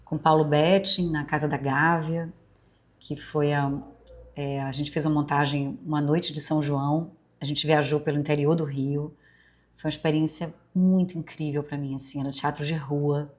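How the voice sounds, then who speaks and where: Low-voiced Bahian woman musing aloud. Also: little pitch movement, moderate pace. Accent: Brazilian Portuguese, Bahian softened by years in Rio. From Brazil, Bahia. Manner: meandering, confiding, full of fillers and asides. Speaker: podcast host. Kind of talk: reflective personal monologue.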